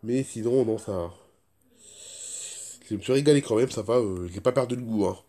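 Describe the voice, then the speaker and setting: A man's voice: lowish voice, moderate pace, warm tone. A man chatting casually as a vlogger, stuffed up with a cold and sore throat, rambling and repeating himself. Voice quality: stuffy-nosed voice. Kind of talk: casual first-person vlog chat.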